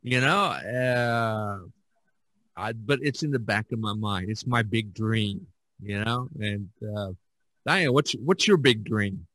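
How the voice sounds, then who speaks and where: A man hosting a casual podcast chat, talking in a halting, rambling way. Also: on the low side, fairly bright tone, no echo. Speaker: podcast host.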